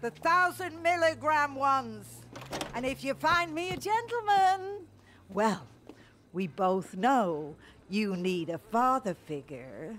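An old woman, a scolding and self-pitying mother with a high voice. She takes her time and swings widely in pitch, imperious and demanding, in game cutscene style over faint noise. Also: very animated, slow pace, a bit bright, full character voice. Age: older. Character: bitter, manipulative old mother, demanding and foul-mouthed. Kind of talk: video game cutscene acting.

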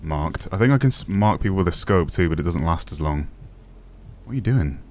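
A young man with a deep voice giving casual gameplay commentary as a streamer, relaxed with excited asides. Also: brisk. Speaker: gaming streamer.

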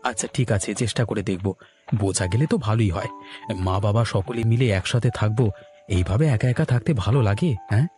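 A man's voice: lowish voice, quick pace, dark tone, soft background music, close to an everyday voice. Man acting a plaintive young married son. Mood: troubled, resigned. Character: troubled young married office-worker son. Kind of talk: Bengali family cartoon acting.

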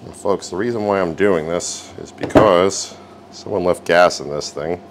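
A man's voice: low-pitched, normal speed, background noise. A man hosting a repair video, narrating casually and wryly as he works at a garage bench. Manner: relaxed, wry, talks while working.

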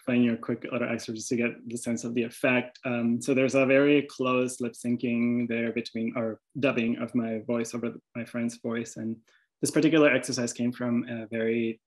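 A man's voice: medium pitch, flat delivery, moderate pace, rough recording. A man from Venezuela with a Venezuelan accent, an artist presenting his work over slides, hesitant, with many restarts and fillers.

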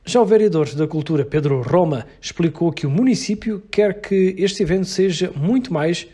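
A man's voice: high voice, moderate pace, slightly rough. A male reporter with a European Portuguese accent reading a scripted news voice-over. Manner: lively scripted read.

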